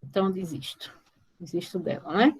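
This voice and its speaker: A woman's voice: fairly low pitch, normal speed, husky. A woman with a Brazilian accent teaching informally in a live online class, often stopping to question and check on her students. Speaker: mathematics teacher.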